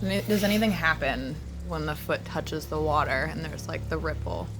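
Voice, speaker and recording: A woman's voice: deep voice, normal speed, music in the background, poor audio. A woman playing a tabletop fantasy game, talking casually and asking questions about the scene.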